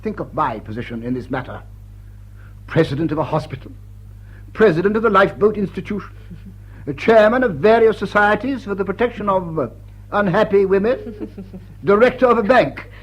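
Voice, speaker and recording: An actor playing a pompous, self-important lord in a radio drama, halting and repeating himself as he frets. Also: sits high, lively intonation, slightly rough. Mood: indignant, pompous. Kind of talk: stage-comedy radio drama acting. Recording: dull, muffled radio drama recording.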